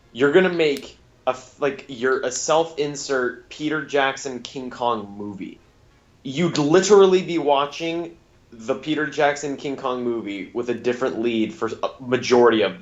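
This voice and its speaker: A man co-hosting a podcast in casual chat, on a dull, lo-fi recording. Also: medium pitch. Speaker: podcast co-host. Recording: dull, lo-fi voice track.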